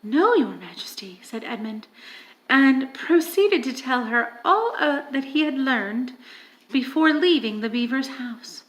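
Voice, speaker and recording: High-voiced woman reading a storybook slowly. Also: expressive, a bit bright, poor audio. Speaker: audiobook reader. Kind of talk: expressive storybook narration.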